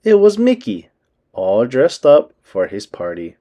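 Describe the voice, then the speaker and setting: A man reading a children's book aloud as an expressive storyteller. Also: mid-range voice, a little slow.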